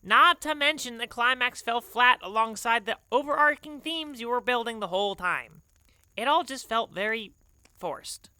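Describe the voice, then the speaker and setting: A woman's voice: fairly high pitch, expressive, normal speed, bright, forceful tone, dry recording. A woman playing a prim, wordy know-it-all camper in an audio drama, speaking in a precise and pompous manner. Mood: critical. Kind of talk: scripted audio drama dialogue.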